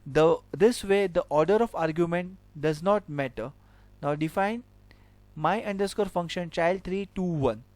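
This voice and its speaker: A man with a high voice teaches a coding lesson. He walks through each example step by step and keeps repeating himself with "okay". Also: a little slow, a little mellow, dry recording. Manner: explains step by step, repeats points with filler "okay". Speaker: programming teacher. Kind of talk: screen-recorded coding lesson.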